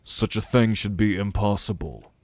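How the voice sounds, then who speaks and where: A man acting a brooding, dutiful ancient celestial being in an ASMR audio roleplay, speaking in a measured, formal, pensive way. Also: fairly low pitch, a little mellow, dry recording, close to an everyday voice. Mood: grave, pondering. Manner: measured, pensive, formal phrasing with deliberate pauses.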